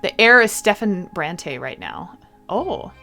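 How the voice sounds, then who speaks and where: A woman's voice: mid-range voice, big pitch swings, slow, sharp tone. Woman reading a game aloud over music. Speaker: game streamer. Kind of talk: let's-play reading of a visual novel aloud with casual commentary.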